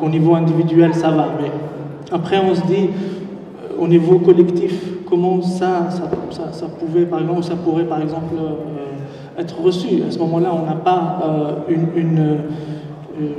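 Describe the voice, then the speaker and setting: A man's voice: a little high, mellow. A man speaking on a conference panel, hesitant and searching for words, recorded from a distance in a large hall. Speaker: panel writer. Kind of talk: halting conference talk. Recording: distant echoey hall, muffled.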